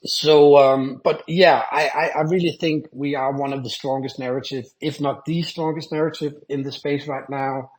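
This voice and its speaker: A man's voice: mid-range voice, steady tone, normal speed, few pauses, rough recording. A man speaking as a guest on an online panel, upbeat, sometimes repeating words as he goes. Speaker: panel guest, company founder. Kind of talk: online audio panel discussion.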